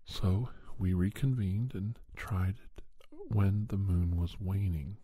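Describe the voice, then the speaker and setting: A man playing a paranormal investigator who recounts his cases in soft, breathy, halting first-person ASMR narration. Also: deep voice, heavily acted. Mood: calm, hushed, matter-of-fact. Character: male paranormal investigator doctor recounting monster cases, unhurried. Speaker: storyteller.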